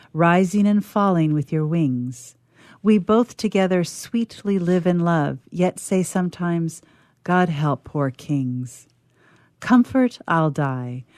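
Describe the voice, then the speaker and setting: A woman recites verse very slowly and deliberately. Her voice is fairly low, recorded dry and close, in the measured style of a poetry reading. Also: darkish tone, no room sound. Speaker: radio theatre player reading poetry.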